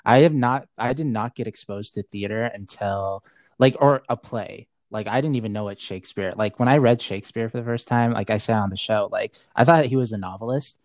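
A man's voice: on the low side, brisk, a little mellow, no echo. Rambling young man in casual chat. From Saudi Arabia. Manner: rambling, heavy on filler words, laughs often. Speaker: podcast guest.

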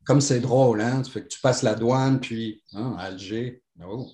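Middle-aged guy, french-moroccan accent w/ bit of quebec, rambly storyteller, mid-pitched, echoey room. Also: unhurried. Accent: mixed French, pied-noir and Moroccan with a Quebec tinge. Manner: rambling, many restarts and fillers, warm and animated. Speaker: guest. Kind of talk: conversational storytelling. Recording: roomy echo.

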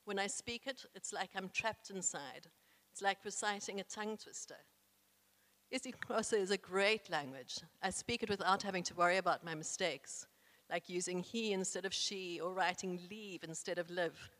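A woman's voice: mid-range voice, moderate pace, a bit bright. A young South African woman, first language isiXhosa, reads a personal essay aloud in an earnest, proud way with careful phrasing. Her voice sits in a middle register and carries a long reverb from the room she is in. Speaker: speaker reading her own essay.

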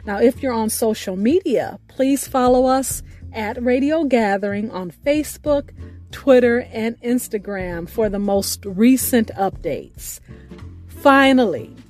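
Female radio host, high register, earnest scripted intro over faint music. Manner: earnest, grateful, measured delivery. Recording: faint music bed behind voice.

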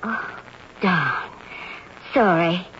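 A fussy, nervous housemate. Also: female voice, lowish voice, expressive, extremely slow, a bit bright, heavily acted. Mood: puzzled. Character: flustered woman household companion. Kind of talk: 1970s radio drama acting. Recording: dull old radio broadcast with faint hiss.

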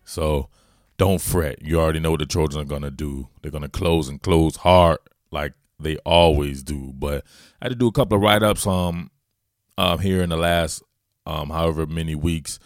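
Southern guy doing casual football talk, deep voice, slangy, dry close sound. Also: normal speed, no room sound. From southern United States. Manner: slangy, informal, familiar address to listeners. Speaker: host, football analyst. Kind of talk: casual solo sports commentary.

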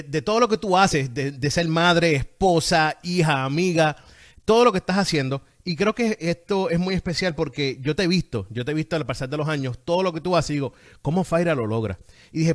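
A male interview host talks in an effusive, conversational style. His voice is a bit higher than most men's. He often repeats phrases to stress them as he addresses his audience and guest. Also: lively intonation, fairly quick.

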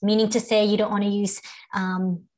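A woman's voice: medium pitch, little pitch movement, normal speed, a little mellow. A woman co-hosting a parenting podcast as a speech-language pathologist, explaining patiently with fillers and easy laughter. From Philippines. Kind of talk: casual advice chat.

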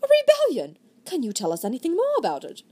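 A woman voicing a smooth, scheming gentleman with a polished, courtly manner. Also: high-pitched, very animated, fairly quick, pitched above the performer's own voice. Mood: outraged. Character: smooth scheming gentleman, feigning loyal outrage.

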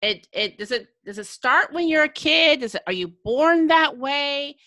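A woman's voice: high-pitched, expressive, moderate pace, pushed and bright, dry recording. An American woman hosting a podcast in a conversational interview style. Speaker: podcast host.

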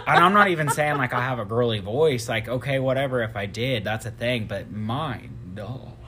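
A man's voice: medium pitch, normal speed, pushed and bright, a little hiss or hum. A man co-hosting a podcast in casual chat, teasing and self-mocking. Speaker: podcast co-host.

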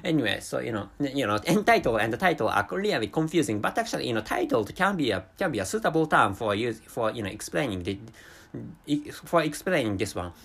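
Halting husky-voiced man, native Japanese. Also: medium pitch, very animated, fairly bright tone. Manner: halting, frequent restarts and stumbles. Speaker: podcast host. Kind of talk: casual monologue.

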